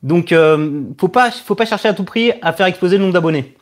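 Man, late thirties, fairly high voice, casual live Q&A host, halting delivery. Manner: halting, hesitant, repeats words as he thinks. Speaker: host of a live Q&A stream, online video creator. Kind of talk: casual live chat answering viewers' questions.

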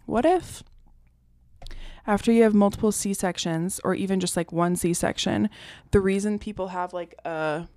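A woman with a mid-pitched voice co-hosts a podcast in relaxed, informal conversation. She tells stories with quoted asides, recorded dry with very little room sound. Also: a little slow, dry recording. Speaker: podcast co-host. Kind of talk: casual podcast chat.

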